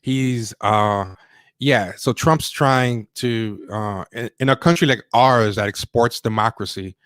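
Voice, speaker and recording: A man hosting a livestream, giving casual news commentary in a rambling, halting way that often doubles back on itself. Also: medium pitch, a bit bright, smooth, no echo, clean studio sound. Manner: rambling, halting, self-interrupting. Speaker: livestream host.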